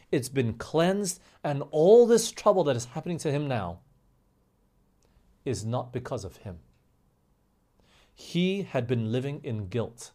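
A man's voice: mid-range voice, a little mellow. Emphatic male preacher giving a sermon. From grew up overseas in England, Australia and the US. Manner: emphatic, slows down on key phrases, asks rhetorical questions.

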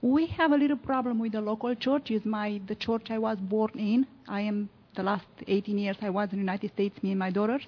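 A woman's voice: medium pitch, steady tone, brisk, hardly any pauses, dull audio. A woman from Romania with a Romanian accent speaking on a church panel, plain and searching for words in English. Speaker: ministry founder on a panel. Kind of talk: panel discussion remarks. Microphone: handheld microphone.

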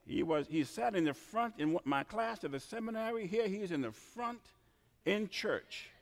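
Animated preacher from Trinidad. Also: male voice, sits high, normal speed, creaky. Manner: dramatic, swings from quiet asides to shouted emphasis. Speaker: pastor. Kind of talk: sermon. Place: church. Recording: reverberant church hall.

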